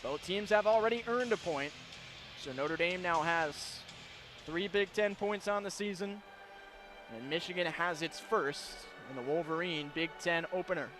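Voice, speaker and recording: A man doing live radio play-by-play commentary of ice hockey, narrating the action in crisp detail from an arena. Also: high-pitched, moderate pace, music in the background. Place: broadcast position in an indoor hockey arena. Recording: live broadcast with clear arena noise.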